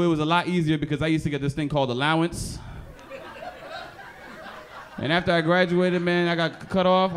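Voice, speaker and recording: Animated young male stand-up comic. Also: a little high, slight background noise. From Haitian background. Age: young adult. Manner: animated, acts out characters in exaggerated voices. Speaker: stand-up comedian. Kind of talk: stand-up comedy set. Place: comedy club stage.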